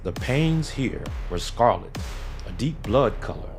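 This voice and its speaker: A male narrator delivers a gothic horror story in a theatrical, literary style. His medium-pitched voice moves through a lively range of intonation. Music plays clearly behind him. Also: measured pace. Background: background music.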